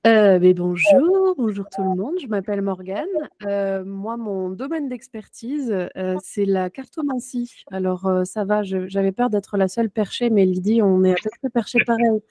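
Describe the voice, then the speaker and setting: A woman's voice: mid-range voice, normal speed, darkish tone. A chatty, self-deprecating woman introducing herself casually to a group on an online call. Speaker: fortune-teller and trainer introducing herself.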